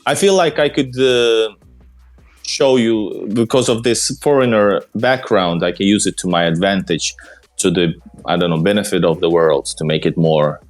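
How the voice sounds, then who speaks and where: Low-voiced man, halting talk. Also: soft background music. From Finland. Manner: hesitant, many fillers and restarts. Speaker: travel vlogger. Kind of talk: casual conversation.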